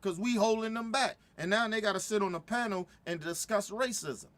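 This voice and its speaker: Middle-aged guy ranting, heated, swears a lot, keeps restarting, kinda high voice. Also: a little fast, a bit bright. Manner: heated, repetitive, stumbling restarts. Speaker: commentator reacting to a video. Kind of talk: profane casual reaction commentary.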